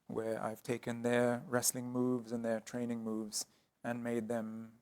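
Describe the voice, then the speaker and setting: A man speaking as an artist guest in an interview, explaining his work thoughtfully with frequent pauses and restarts. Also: mid-range voice, monotone, a little mellow, clean tone. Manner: hesitant, many ums and restarts.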